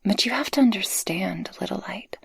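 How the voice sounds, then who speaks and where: A woman playing a tender succubus guardian in an intimate, soft-spoken ASMR roleplay, affectionate and breathy. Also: mid-range voice, expressive, moderate pace, no echo, heavily acted. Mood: shy, earnest. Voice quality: breathy, husky. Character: tender, possessive female succubus guardian, playful and shy in love.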